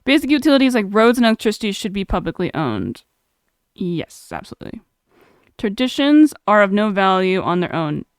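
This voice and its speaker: Chatty young woman streamer, smooth voice. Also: mid-range voice, very animated, a little fast, no echo, studio quality. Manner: chatty, laughs often, reads chat aloud. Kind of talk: casual live-stream chat.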